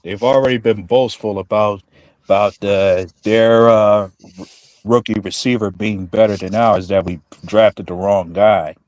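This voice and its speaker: A man chatting casually about sports in a halting way, repeating words. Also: on the low side, fairly even intonation, a little mellow, no echo, poor audio.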